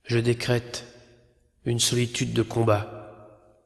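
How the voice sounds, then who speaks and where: A man recites poetry as a narrator, solemn and measured, pausing between phrases. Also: medium pitch, monotone. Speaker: poet narrator. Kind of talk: poetry recitation over a film. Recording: long reverb, clear background noise.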